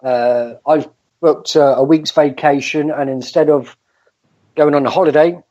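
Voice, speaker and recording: A man's voice: on the high side, steady tone, measured pace, mellow, highs cut off. A man on a political talk show, speaking hesitantly with many filler sounds between phrases. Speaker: podcast co-host. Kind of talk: casual political discussion.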